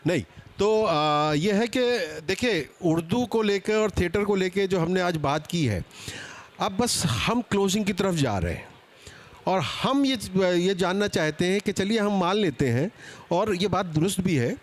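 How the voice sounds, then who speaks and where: Guy moderating a seminar, fairly high voice, polite, keeps chiming in. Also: big pitch swings, unhurried. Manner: polite, interjecting. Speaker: panel moderator. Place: book fair seminar with a live panel.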